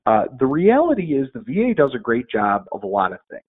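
A man talks as a guest in a casual, upbeat way, stumbling over repeated words as he plugs his links. His voice sits at a middle pitch and comes through a narrow, phone-like band. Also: wildly swooping pitch, fairly quick, slightly rough. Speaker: podcast guest. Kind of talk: casual promotional interview talk. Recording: phone-like band-limited audio.

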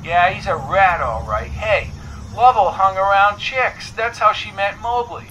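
A blunt, protective confidant from an audiobook, acted out by a man, pitched noticeably high. The delivery is brusque, bossy and colloquial, with tough talk. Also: a little slow, sharp tone, a little hiss or hum, rough recording. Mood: wry, brusque.

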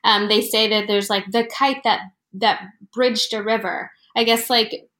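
Young woman guest from Florida, bright tone, hesitant and chatty, roomy echo. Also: a little high, fairly even intonation, unhurried. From Florida, United States. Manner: chatty, hesitant, many fillers. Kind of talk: casual interview.

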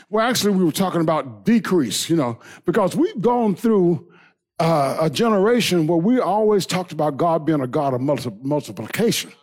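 A man preaching as pastor, building into a shouting, half-sung whooping cadence and then dropping to a quieter storytelling tone. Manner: drops to low conversational storytelling. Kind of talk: sermon.

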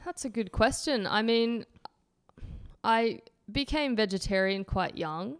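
A woman's voice: on the high side, expressive, takes it slowly, clear, resonant tone, no echo, clean studio sound. A woman in her late twenties, a podcast guest who runs a healthy eating website, answering in a thoughtful way and restarting phrases as she goes. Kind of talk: casual podcast interview.

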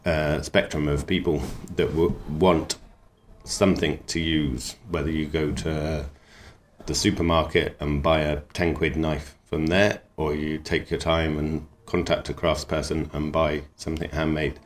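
Very deep-voiced relaxed man. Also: measured pace, a little hiss or hum. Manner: easygoing, rambling, enthusiastic. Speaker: knifemaker and cook. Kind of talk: relaxed interview talk.

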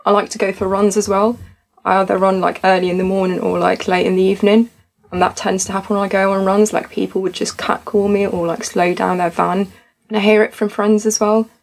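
A woman's voice: medium pitch, level tone, brisk, gravelly, poor audio. A young woman from Tunisia, a student society founder, talking earnestly and reflectively in a podcast conversation.

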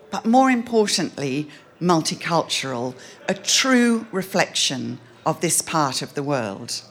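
A woman hosting a literary event, giving a prepared introduction in a measured, formal manner. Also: fairly low pitch.

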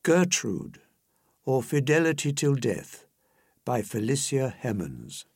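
A man recites poetry with solemn, theatrical weight. His voice is husky and he takes his time over each phrase. The delivery is measured and dramatic throughout. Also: medium pitch, very animated, dry recording, studio quality. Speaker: poetry reader.

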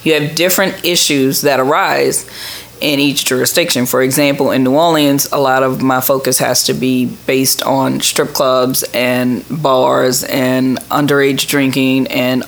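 Gravelly middle-aged woman answering questions. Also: a bass voice, flat delivery, a little slow. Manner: explanatory, plain-spoken. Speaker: government official, interview guest. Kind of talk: conversational interview answers.

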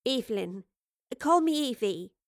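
A woman's voice: a little high, expressive, a little slow, darkish tone, dry recording, studio quality. A woman voicing a weary, kindly working-class old woman with homely, colloquial, wistful speech in an audio story. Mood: chuckling, dismissive.

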